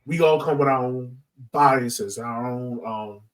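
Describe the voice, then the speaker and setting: A man from the American South with a medium-pitched voice discusses things casually on a sports podcast. He thinks aloud as he explains, and he sounds somewhat distant from the microphone. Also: slow. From southern United States. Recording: distant from the mic.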